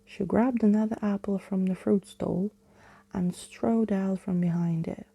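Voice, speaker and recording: A woman storyteller reads in ASMR style, unhurried and close to the mic. Her voice is very dark and soft with a creaky, breathy grain, often sinking into a whisper. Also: medium pitch, steady tone, dry recording. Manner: hushed, often slipping into whisper. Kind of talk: ASMR bedtime story reading.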